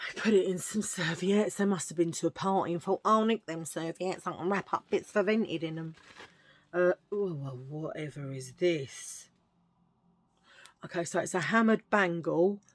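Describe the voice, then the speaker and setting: Middle-aged woman, husky lowish voice, rambly chatty haul talk, pokes fun at herself. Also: measured pace, pauses often. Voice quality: husky, low voice. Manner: rambling, self-mocking, chatty with fillers. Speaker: vlogger showing a thrift haul. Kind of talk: casual chatty haul video.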